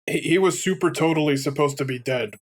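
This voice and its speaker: A man's voice: on the high side, brisk. A man co-hosting a let's play, chatting casually and jokily about games. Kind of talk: casual gaming chat.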